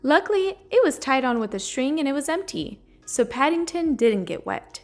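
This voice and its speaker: A woman reading a children's story aloud in an expressive, storytelling manner. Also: high-pitched, moderate pace. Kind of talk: children's read-aloud narration. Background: soft music.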